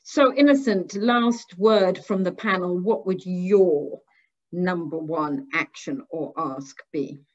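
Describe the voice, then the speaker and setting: A woman with a lowish voice moderates a panel discussion. The sound is slightly dull, as video-call audio tends to be. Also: slow.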